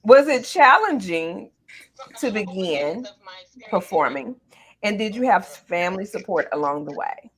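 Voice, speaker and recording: A woman hosting a casual, church-flavored interview in a playful, expressive, affirming way. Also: medium pitch, unhurried, slightly rough. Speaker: talk show host.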